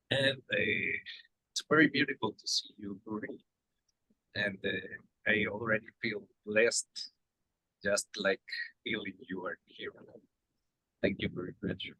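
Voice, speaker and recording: Low, husky Colombian man, slow-spoken. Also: pushed and bright, dry recording. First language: Spanish. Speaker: guest introducing himself. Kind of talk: informal self-introduction on a group call. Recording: muffled, dry call audio.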